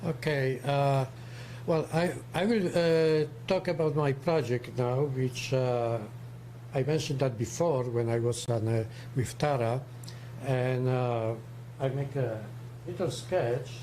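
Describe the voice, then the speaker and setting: Man, 70s, strong Polish accent, hesitant and searching for words, mellow, faint background hum. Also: mid-range voice, steady tone. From Poland. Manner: halting, searching for English words. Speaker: co-host. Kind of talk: casual livestream talk.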